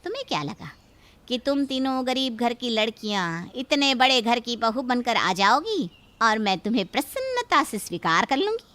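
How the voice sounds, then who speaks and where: A woman's voice: fairly high pitch, sing-song, normal speed, a bit bright. A woman playing a domineering, greedy middle-aged mother-in-law in a cartoon story, haughty and threatening as she scolds. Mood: scolding, threatening. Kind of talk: Hindi cartoon story acting.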